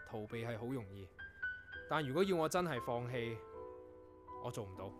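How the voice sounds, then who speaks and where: A man acting in a Cantonese radio drama as an earnest, proud young composer, telling things in a reflective, measured way. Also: on the high side, lightly acted. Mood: reflective, resolute. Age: young adult.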